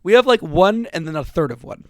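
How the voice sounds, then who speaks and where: A man hosting a casual podcast, his voice sore and worn out after days of strain. He sits a little high in pitch for a man and talks in a rambling way, swearing casually and often restarting sentences. The recording is close and dry, with almost no room sound. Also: lively intonation, fairly quick, dry recording. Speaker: podcast host.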